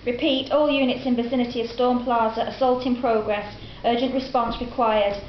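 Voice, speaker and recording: A woman's voice: on the high side, fairly even intonation, normal speed, lightly acted. An actress reading a grateful mother's phone message, a weather girl and a police dispatcher in a scripted audio drama. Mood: urgent. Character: young woman caller and weather girl. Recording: dull lo-fi roomy recording, faint hiss.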